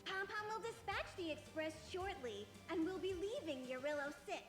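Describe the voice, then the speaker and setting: A woman voicing a small, chirpy conductor creature in a video game, brisk and formal like a train announcer. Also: extremely high pitch, animated. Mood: brisk, formal announcing. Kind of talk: scripted game voice acting. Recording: echoing game announcement over faint music.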